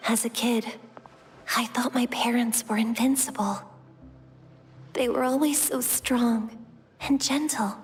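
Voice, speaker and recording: A woman's voice: a little high, fairly even intonation, slow, pauses often, faint music behind. A woman playing a brave, protective young warrior haunted by childhood fears in a dramatic video game. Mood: haunted, somber.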